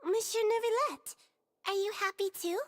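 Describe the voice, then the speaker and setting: A woman's voice: extremely high pitch, moderate pace, dry recording, studio quality. A woman voicing a sweet, forgetful little girl in a video game, speaking politely with childlike hesitation. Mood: grateful, bright. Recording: game audio with faint music behind.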